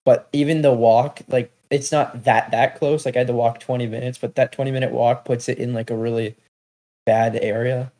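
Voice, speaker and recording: Young man, mid-pitched voice, podcast co-host, casual slangy storytelling. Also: level tone, moderate pace, warm tone. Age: young adult. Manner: laid-back, slangy storytelling. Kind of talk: casual chat.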